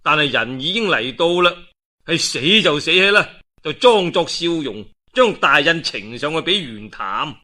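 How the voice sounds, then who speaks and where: A man's voice: on the high side, big pitch swings, measured pace, bright, forceful tone. A male storyteller with a Cantonese accent, narrating a historical novel in a colloquial, dramatic oral style. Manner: colloquial, dramatic delivery with interjections.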